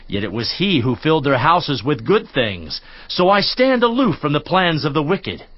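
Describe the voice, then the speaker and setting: A man's voice: on the high side, big pitch swings, normal speed, no echo. An actor playing a stern friend who lectures a suffering man in a dramatized scripture reading, admonishing and preacherly. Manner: admonishing, preacherly, rising and falling emphasis. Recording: slightly dull dry studio recording.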